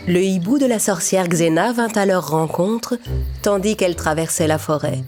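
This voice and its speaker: A woman's voice: fairly low pitch, moderate pace, a little mellow, soft background music. A woman narrating a children's fairy tale in a scripted storytelling style.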